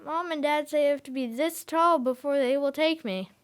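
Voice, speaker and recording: A woman acts out a young boy in an audio drama, with a fairly high voice. He is literal-minded and guarded, answering in a halting, matter-of-fact, childlike way. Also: natural voice. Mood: earnest.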